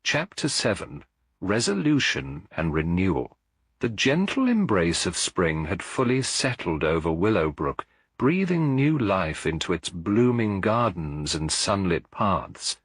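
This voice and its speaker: A man reads a detective story as an audiobook narrator. His voice is deep and husky, moving with lively intonation in a measured literary delivery. The recording is close and dry. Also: no room sound. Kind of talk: scripted mystery story narration.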